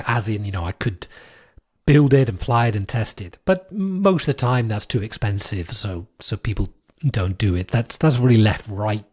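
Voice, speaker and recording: A British man with a lowish, husky voice, worn hoarse by a cold, talks through a technical subject alone for his podcast. He thinks aloud as he goes, pausing on fillers and circling back to restart his sentences. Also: animated, darkish tone. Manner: thoughtful, with frequent fillers and restarts. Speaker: podcast host. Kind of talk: solo explanatory podcast monologue.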